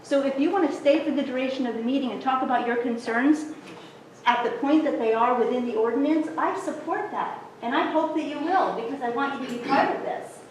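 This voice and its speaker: A woman council member reading a prepared statement in a formal public meeting, firm and measured. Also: high voice, distant mic, slight background noise. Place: city council chamber. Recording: echoey council chamber, off-mic.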